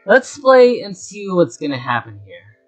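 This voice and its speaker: A man's voice: high voice, big pitch swings, measured pace, soft background music, rough recording. A man giving an unscripted screen-share tutorial, talking through each step as he works and often repeating himself. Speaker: tutorial presenter.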